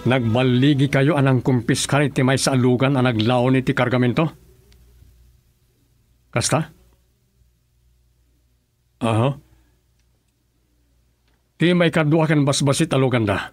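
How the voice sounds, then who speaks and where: An actor with a Filipino accent playing a composed, authoritative husband in a radio drama, in a measured manner. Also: male voice, mid-range voice, fairly even intonation, natural voice. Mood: composed, pleased. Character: composed, authoritative husband involved in fighting crime.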